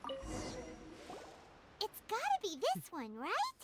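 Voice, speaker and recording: A woman voicing a tiny, excitable, chatty girl companion in an anime video game, with a squeaky childlike voice and exclamatory manner. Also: extremely slow, very noisy, full character voice. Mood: curious. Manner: exclamatory, refers to self in third person. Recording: music behind the voice.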